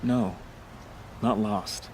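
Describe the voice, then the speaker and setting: An actor playing a humble, remorseful priest in a video game, speaking in a measured, formal, earnest way. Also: male voice, fairly low pitch, fairly even intonation, darkish tone, slight background noise, low-quality recording. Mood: mournful, reverent. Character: solemn, grieving young priest, humble and remorseful.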